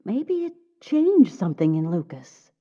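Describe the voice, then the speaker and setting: A woman's voice: medium pitch, very animated, unhurried, dark tone. A woman playing an earnest, insistent mother and scientist in a dramatic video game scene. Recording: tinny, narrow phone-like muffled sound.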